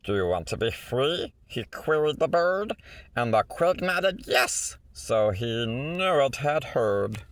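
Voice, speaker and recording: Male storybook reader for rhyming picture book: theatrical, lively pitch swings, measured pace, rhythmic phrasing. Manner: theatrical, rhythmic delivery with stretched words and asides. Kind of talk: expressive read-aloud of a rhyming children's picture book.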